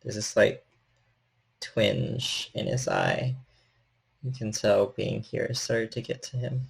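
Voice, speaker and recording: A man's voice: fairly low pitch, huge pitch swings, takes it slowly, gravelly, breathy edge. A man narrating a tabletop horror game as its storyteller and host.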